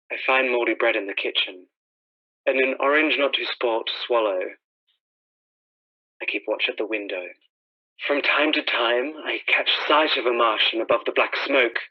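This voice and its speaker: A man's voice: mid-range voice, natural voice. An actor playing a haunted, lonely professor who survived a disaster, narrating his journal as a brooding radio drama monologue. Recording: dull, lo-fi radio sound.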